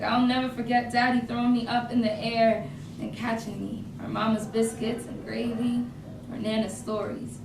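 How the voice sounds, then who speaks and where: A woman's voice: mid-range voice, close to an everyday voice. Brief: actress as hurt Southern woman, theatrical monologue, vernacular phrasing, recorded from afar in a noisy room. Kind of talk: staged reading, poetic theatre monologue. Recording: echoey room, distant mic, clear background noise.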